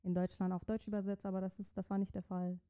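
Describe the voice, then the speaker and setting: Young woman from Iran, native Persian speaker, very dark timbre, halting interview answers. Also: mid-range voice, level tone, smooth. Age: young adult. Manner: searching for words, many restarts. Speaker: interview guest, student writer.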